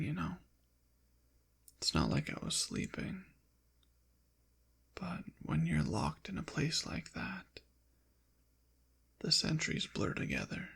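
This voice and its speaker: A man voicing a haughty, possessive ancient demon in an intimate ASMR roleplay, with formal, deliberate diction and a breathy, gravelly whisper. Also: a little high, a bit bright, heavily acted. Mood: indignant, brooding. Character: possessive ancient male demon, haughty, teasing, quietly softening. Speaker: audio roleplay performer.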